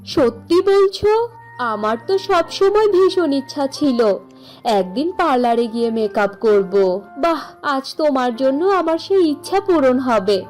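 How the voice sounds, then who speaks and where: A woman's voice: sits high, animated, a little slow, darkish tone, faint music behind, voice pushed up. A woman voicing humble, admiring village women in a cartoon story, earnest and marveling. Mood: amazed, delighted.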